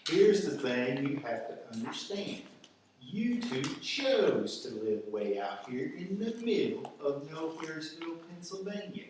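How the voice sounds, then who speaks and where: A man's voice: fairly high pitch, big pitch swings, unhurried, darkish tone. A man playing a grumpy, folksy, long-suffering FBI agent in a comic radio play, blustering as he explains. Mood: exasperated explaining. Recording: echoey room, distant mic, faint noise.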